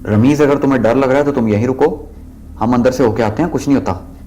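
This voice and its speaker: A man's voice: medium pitch, fast, dark tone, slight background noise, close to an everyday voice. A man playing a bold, curious young university student in an audio drama. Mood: dismissive, confident.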